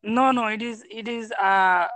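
A young man from Bangladesh, a student practicing English in halting, broken sentences full of pauses. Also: high voice, fairly even intonation, bright, forceful tone, clear, resonant tone, dry recording. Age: young adult. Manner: hesitant, broken English, many uh fillers.

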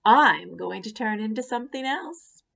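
A woman's voice: fairly high pitch, expressive, normal speed, gravelly, fairly bright tone, exaggerated voice. A woman voicing a proud, boastful young female caterpillar in a storybook, speaking emphatically. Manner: emphatic, bragging delivery.

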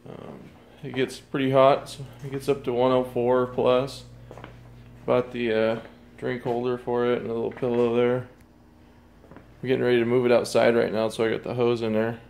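A man casually showing off his hot tub in a garage, talking in a relaxed, rambling way with plenty of fillers, recorded on a handheld phone. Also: mid-range voice, normal speed, gravelly, slight background noise. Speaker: product reviewer. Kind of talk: casual product walkthrough.

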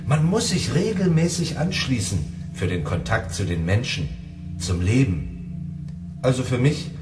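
An actor playing a lonely, shy and earnest neighbour in a radio drama, speaking hesitantly. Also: male voice, medium pitch, sing-song, unhurried. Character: lonely, eager, shy simple-minded male neighbour. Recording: echoey room with music behind.

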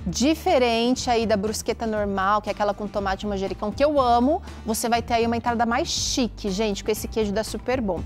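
A woman's voice: fairly high pitch, brisk, few pauses, faint music behind. A Brazilian woman in her thirties hosting a TV cooking show in a studio, chatty and enthusiastic as she speaks casually to viewers. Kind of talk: casual, promotional presenting to viewers. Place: TV kitchen studio.

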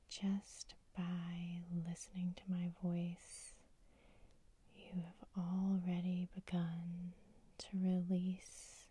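A woman whispering softly as the host of an ASMR sleep hypnosis session, guiding the listener in a slow, soothing way. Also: lowish voice, breathy edge. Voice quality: soft whisper.

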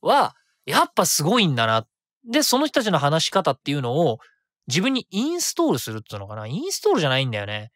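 A man's voice: on the high side, wildly swooping pitch, fast talker, fairly bright tone, dry recording, clean studio sound. A man hosting a solo radio show in casual talk, chatty and rambling, thinking aloud and correcting himself as he goes. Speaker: radio host. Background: background music.

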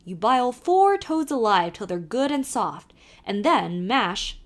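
Storybook part: a woman playing a jolly old Irish peddler man, sly brogue, lively swooping delivery. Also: fairly high pitch, measured pace, pitched above the performer's own voice. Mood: teasing. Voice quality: thick brogue, sly, teasing.

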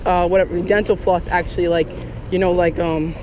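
A young man giving casual, excitable running commentary while fishing from a lake bank, recorded on a head-mounted action camera. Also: sits high, a little fast, lo-fi sound, slight background noise. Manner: chatty, excitable, lots of "like" and "oh my gosh". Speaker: fishing vlogger. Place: outdoors on a lake bank in Florida.

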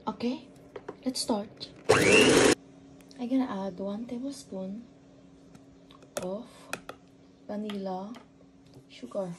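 A woman's voice: medium pitch, background noise. A woman with a Filipino accent narrating casually as she bakes a cake in her home kitchen, mixing English and Tagalog. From Philippines. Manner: slow, mixes English and Tagalog, relaxed step-by-step commentary. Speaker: home baking vlogger.

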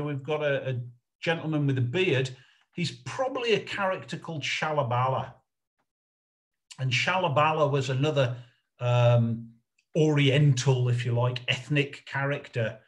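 A middle-aged man with a mid-range voice gives an informal talk as a lecturer. He speaks in a halting way, working out his thoughts aloud as he explains. It sounds like an online slideshow presentation made from indoors. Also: mid-range voice. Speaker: lecturer on puppet history.

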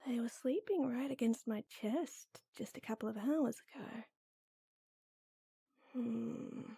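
A woman's voice: a little high, slow, creaky, dark tone, slightly rough, no echo, full character voice. A woman playing a flustered, lovestruck young woman in an intimate audio roleplay, hesitant and teasing. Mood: anxious, musing.

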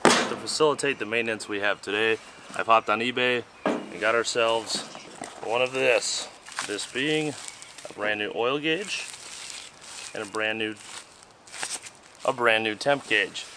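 A man with a fairly low voice talks through his repair work in a loose, joking way, now and then dropping into mutters as he works. He is recorded outdoors, and clear noise runs behind him. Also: steady tone, normal speed, pauses often. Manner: chatty and joking, swears casually, mutters while working. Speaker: vlog host fixing an old tractor. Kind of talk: casual how-to narration while working. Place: outdoors on a farm.

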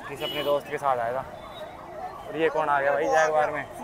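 A young man chatting casually and excitably into a phone as he vlogs outdoors. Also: a little high, a little fast, a loud crowd. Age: young adult. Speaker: vlogger. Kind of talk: casual vlog chat. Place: outdoors on streets and grounds near a large crowd. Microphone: phone at arm's length.

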